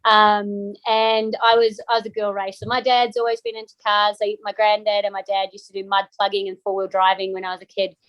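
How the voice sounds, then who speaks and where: A woman's voice: mid-range voice, little pitch movement, fairly quick, few pauses, dry recording, highs cut off. Chatty New Zealand woman, dry sound. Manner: chatty, many ums and restarts. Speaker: guest. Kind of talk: casual interview chat.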